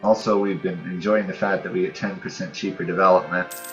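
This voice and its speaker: A man with a low voice gives casual gaming commentary, musing aloud as he goes. The recording is dull and roomy, with game music playing behind him. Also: steady tone, brisk, fairly bright tone. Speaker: host of a gaming channel playing a strategy game. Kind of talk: casual let's-play commentary.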